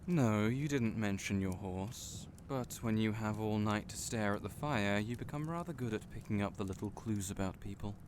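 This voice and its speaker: A man's voice: lowish voice, normal speed, few pauses, lightly acted. A man playing a friendly, teasing young innkeeper in a fantasy audio roleplay, chatty and playful, speaking right to the listener. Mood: genial, chatty. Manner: chatty, playful, genial storyteller. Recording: noisy storm and fire ambience behind. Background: storm and crackling fire ambience.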